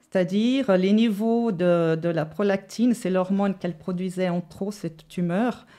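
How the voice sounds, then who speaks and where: Woman, mellow and slow-paced. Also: medium pitch. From Brazil. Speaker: guest giving testimony. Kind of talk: personal testimony in an interview. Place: studio set.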